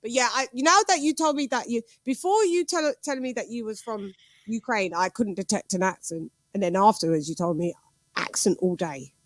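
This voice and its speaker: A woman hosting a casual online chat, playful and quick to laugh. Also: medium pitch, no room sound. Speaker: host.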